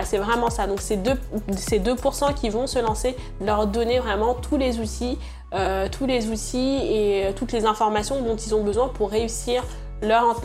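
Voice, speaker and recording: Young woman, halting, music behind. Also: mid-range voice. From Senegal, born in Congo, raised in France. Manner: hesitant, many fillers and restarts. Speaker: entrepreneur and channel creator. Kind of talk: interview answers.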